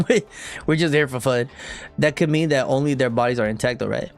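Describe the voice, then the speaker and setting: Young man, casual gaming streamer, loose slangy chat, mid-pitched. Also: brisk, soft background music. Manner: rambling, slangy, reads dialogue in a deep whisper. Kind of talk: casual live-stream chat, reading game text aloud.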